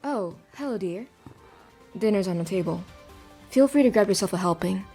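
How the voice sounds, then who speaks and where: A woman playing a gentle, reassuring middle-aged mother in an audio drama. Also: mid-range voice, expressive, moderate pace, breathy edge, faint music behind. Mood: casual, kindly.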